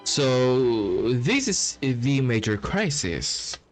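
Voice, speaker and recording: A man's voice: mid-range voice, sing-song, drawn-out words, faint music behind. A young man giving casual, off-the-cuff reaction commentary over a game, slangy and profane. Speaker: game streamer.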